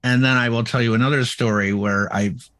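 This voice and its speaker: Brief: middle-aged male co-host, boisterous and loud, casual storytelling chat. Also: medium pitch, steady tone, brisk. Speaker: podcast co-host.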